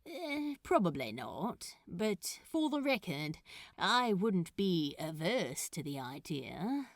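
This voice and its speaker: A woman's voice: on the low side, big pitch swings, slow pace, dry recording, clean studio sound, full character voice. A woman voicing a laid-back, teasing, cocky man in a fan fiction audiobook, with a casual offhand drawl.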